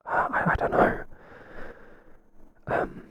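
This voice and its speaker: A man playing a mild, kindly workplace boss in a whispered ASMR roleplay, soft-spoken and halting with a breathy voice. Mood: hesitant, accommodating. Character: mild, hesitant, kindly male workplace boss, flustered by flattery.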